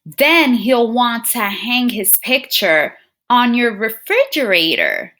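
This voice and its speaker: A woman with a fairly high, very animated voice reads a storybook aloud as a teacher would to small children. She takes her time, musing aloud and putting questions directly to her young listeners. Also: slow. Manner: expressive, addresses children directly with frequent questions and musing hums. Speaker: teacher reading a picture book aloud to young pupils.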